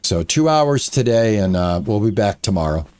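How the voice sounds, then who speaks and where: A man in his late sixties chatting casually to his viewers while streaming a farming game, rambling with frequent pauses. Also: fairly low pitch, sing-song, clean tone. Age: 60s. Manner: soft and quiet while petting his cat. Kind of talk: casual live-stream chat while playing.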